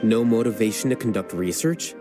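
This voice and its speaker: A man voicing an aloof, blunt intellectual sage in an anime game, deadpan and precise. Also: lowish voice, a little fast, music in the background, close to an everyday voice. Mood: detached, probing. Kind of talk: anime game dialogue acting.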